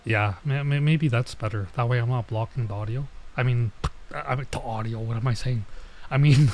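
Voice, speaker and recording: A man's voice: mid-range voice, brisk, a little mellow, a little hiss or hum. A man streaming gameplay with casual commentary, thinking aloud in a hesitant, rambling way. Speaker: streamer, virtual YouTuber.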